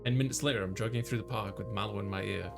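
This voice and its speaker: A man's voice: on the low side, steady tone, fast talker, gravelly, music in the background. A man voicing a flustered young male college student who narrates his own story in a visual novel. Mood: easygoing.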